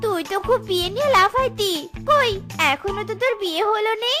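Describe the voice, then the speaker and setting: Squeaky, haughty young jackal wife. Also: female voice, brisk, sharp tone, faint music behind. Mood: boastful. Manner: theatrical, exaggerated intonation. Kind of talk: children's cartoon dub.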